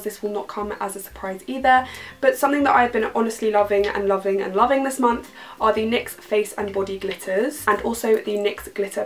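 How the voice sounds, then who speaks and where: Young British woman beauty vlogger, slightly croaky, effusive and chatty, casual bedroom review to camera. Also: mid-range voice. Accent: British English. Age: young adult. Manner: gushing, enthusiastic, repeats words for emphasis. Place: bedroom, indoors.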